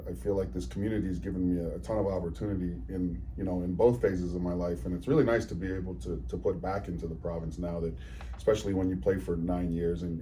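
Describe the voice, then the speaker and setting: A man in his forties with a very low, warm and mellow voice, talking as an interview guest. He sounds easygoing and earnest, circling back on his words and restarting his sentences. A faint hiss of noise sits under his voice. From New Zealand, raised in Ontario, Canada. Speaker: guest, charity executive. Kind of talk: casual interview conversation.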